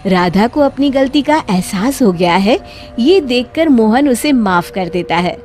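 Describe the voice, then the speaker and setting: A woman narrating a scripted moral story for children. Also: on the high side.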